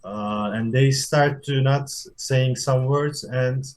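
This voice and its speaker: Slow-spoken man learner. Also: medium pitch. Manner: deliberate, with fillers. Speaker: English learner.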